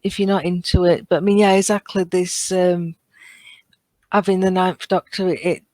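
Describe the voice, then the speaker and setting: A woman's voice: on the low side, little pitch movement, moderate pace, dark tone, no room sound. Hesitant woman on a podcast, dry close sound. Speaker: podcast panellist. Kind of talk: casual podcast discussion.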